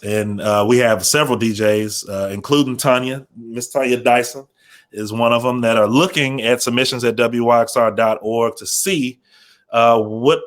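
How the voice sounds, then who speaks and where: A man's voice: mid-range voice, fairly bright tone. An American man speaking as a radio program manager on a panel, explaining informally and often restarting phrases as he thinks.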